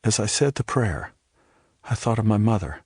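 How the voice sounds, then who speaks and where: An older man giving a scripted, reflective first-person narration for an audio drama, in a measured storytelling manner. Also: fairly low pitch, lively intonation, darkish tone, breathy edge, no echo, clean studio sound. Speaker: narrator and playwright telling his own story.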